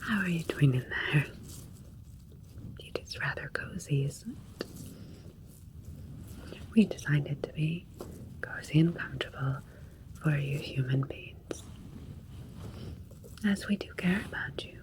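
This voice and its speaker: A woman playing a gentle, soothing alien doctor in an ASMR roleplay, speaking in a breathy whisper with a clinical manner. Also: low voice, measured pace, long pauses. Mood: calm, clinical.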